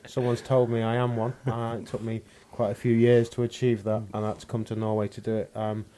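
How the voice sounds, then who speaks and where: A man's voice: medium pitch, monotone, brisk, mellow, a little hiss or hum. A man in his thirties from England with an English accent, a stand-up comedian chatting as a podcast guest in a dry, self-mocking, sweary way. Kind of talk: casual podcast chat.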